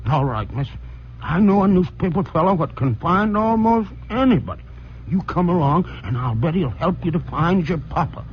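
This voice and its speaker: A man's voice: a little high, animated, moderate pace, background noise, lightly acted. An actor playing a kindly, streetwise man with slangy, ungrammatical American speech in an old radio drama. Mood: gentle, coaxing. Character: kindly, folksy streetwise man taken for Santa Claus. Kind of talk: 1940s-50s American radio drama acting. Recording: muffled old radio recording with music.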